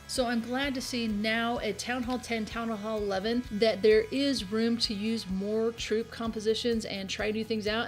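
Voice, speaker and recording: A woman in her thirties answers viewer questions in a relaxed, chatty way. She sounds upbeat and mid-pitched, with faint music playing under her voice. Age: 30s. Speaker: game tutorial host.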